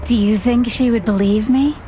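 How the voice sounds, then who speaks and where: An actress playing a poised, secretive young servant woman in a noir detective game, speaking in a measured, coy and evasive way. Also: fairly high pitch, fairly even intonation, music in the background, close to an everyday voice. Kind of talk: film-noir game voice acting.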